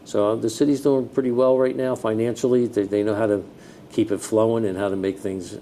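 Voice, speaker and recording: Low, mellow American man, plain-spoken. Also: fairly quick. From New Jersey, United States. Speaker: fire chief being interviewed. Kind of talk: conversational interview answers. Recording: steady background noise with low rumble.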